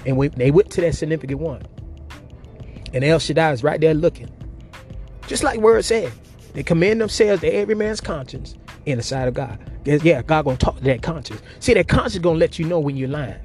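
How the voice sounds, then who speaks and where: A man delivers a fervent sermon, voice pitched a touch high. He works the crowd with call-and-response, dropping to near-murmurs and then leaping up into sharp cries. Soft music plays faintly behind him. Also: animated, a little fast. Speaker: preacher. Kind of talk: impassioned sermon.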